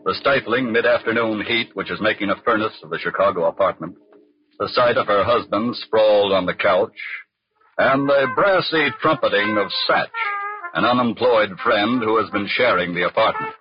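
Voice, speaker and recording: An actor serving as a wry crime-story narrator with an American accent and dramatic, measured 1940s radio delivery. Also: male voice, lowish voice, lightly acted. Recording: dull vintage radio, faint music.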